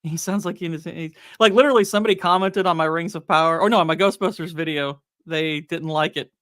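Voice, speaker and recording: A man of forty with a high voice that sounds young, chatting playfully on a livestream. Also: fast talker, a bit bright. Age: 40s. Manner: playful, laughs often, quotes movie lines. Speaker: guest streamer. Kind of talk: casual livestream chat.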